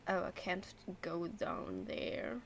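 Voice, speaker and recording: A woman's voice: on the low side, big pitch swings, takes it slowly, creaky, slight background noise. A woman game streamer reacting casually as she plays. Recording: faint game sound behind.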